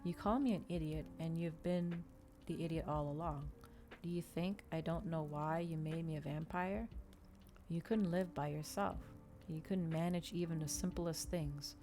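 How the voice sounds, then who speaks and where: Low, brooding male vampire voiced by a woman. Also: steady tone, moderate pace, mellow, soft background music. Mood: cold, intense. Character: brooding, articulate male vampire recounting his past.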